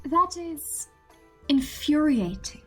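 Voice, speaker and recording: A woman's voice: high voice, animated, takes it slowly, faint music behind. A woman playing a prim, courtly young noblewoman in a fantasy audio drama, speaking formally and precisely with polite reproof. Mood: indignant.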